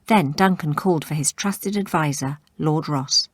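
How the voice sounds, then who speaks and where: A woman's voice: sits low, moderate pace, no room sound, clean studio sound. A woman reading a story aloud as a scripted read-along narrator.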